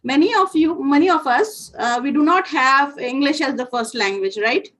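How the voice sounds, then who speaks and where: A woman's voice: high-pitched, normal speed, fairly bright tone. A woman whose first language is Bengali, teaching a class in English and questioning her students, with frequent fillers. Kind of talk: classroom discussion.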